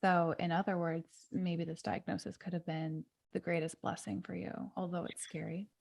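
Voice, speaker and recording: Soft-spoken woman podcast host. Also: fairly low pitch, level tone, moderate pace, creaky. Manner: soft, encouraging, frequent affirmations. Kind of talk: interviewing.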